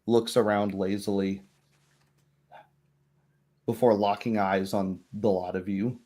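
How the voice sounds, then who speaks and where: A man narrating a tabletop roleplaying game as game master, in a measured way. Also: on the low side, little pitch movement, dark tone.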